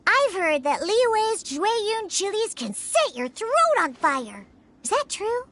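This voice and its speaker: Very high-pitched feisty little cat-girl. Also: big pitch swings, slow pace, fairly bright tone, exaggerated voice. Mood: eager. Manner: emphatic, grumbling, childlike. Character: feisty little cat-girl bartender who hates alcohol. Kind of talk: video game character acting.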